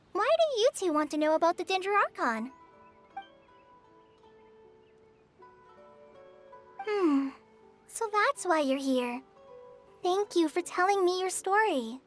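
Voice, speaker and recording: A young forest ranger girl from an anime game, with a very high, youthful voice. The girl speaks earnestly and politely, a little hesitant and quick to apologize. Soft music sits behind. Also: animated. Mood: friendly, polite. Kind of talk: anime-style video game voice acting.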